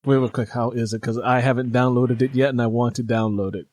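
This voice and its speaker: A man's voice: mid-range voice, fairly even intonation, brisk, a little mellow, no echo. A man hosting an interview, chatting casually about books as an audiobook narrator who reads a great deal. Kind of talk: casual book chat.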